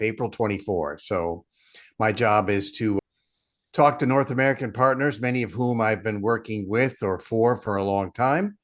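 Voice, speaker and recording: A middle-aged man, a partnerships head, explaining steadily and with self-deprecating asides as a guest. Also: lowish voice. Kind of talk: casual interview chat.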